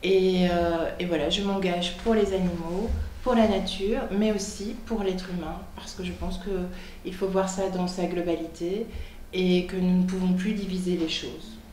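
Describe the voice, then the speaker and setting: A woman's voice: fairly low pitch, fairly even intonation, measured pace, darkish tone, roomy sound, a little hiss or hum. A woman, a political candidate, speaking earnestly and with commitment, with frequent fillers. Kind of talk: spontaneous speech. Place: television studio in Brussels.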